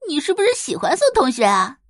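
A woman voicing a rowdy, mischievous teenage classmate who teases loudly in an audio drama. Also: sits high, huge pitch swings, brisk, dry recording, clean studio sound, voice pushed up. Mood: teasing. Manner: loud, mischievous.

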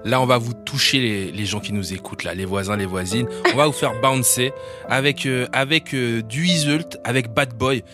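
A man's voice: medium pitch, normal speed, over music. A man hosting a casual interview, playful and loose in his phrasing. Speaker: host.

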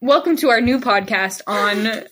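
A woman's voice: unhurried, a bit bright, slight background noise. Joking young woman podcast host. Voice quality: self-described shrill voice. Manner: joking, swears freely. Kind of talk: casual chat among friends.

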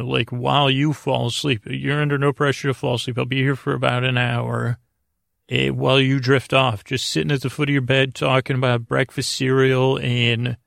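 Man, middle-aged, extremely creaky gravelly voice, medium pitch, halting bedtime rambling, self-interrupting, podcast host. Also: fairly bright tone, no room sound, clean studio sound.

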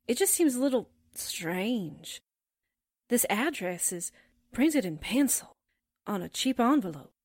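A woman's voice: on the high side, big pitch swings, slow pace, a little mellow, no room sound, clean studio sound, full character voice. A woman playing a folksy, anxious newspaper sidekick in a 1940s-style radio drama. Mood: worried.

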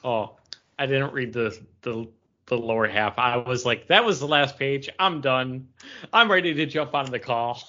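A man's voice: medium pitch, normal speed, sharp tone. Man chatting casually, slightly muffled audio. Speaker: comics podcast co-host. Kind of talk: casual discussion. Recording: dull lo-fi audio.

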